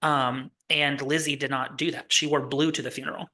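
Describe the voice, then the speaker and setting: Bright-voiced rambling playwright guest. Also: male voice, mid-range voice, flat delivery, a little fast. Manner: rambling, many fillers and restarts. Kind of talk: casual interview chat.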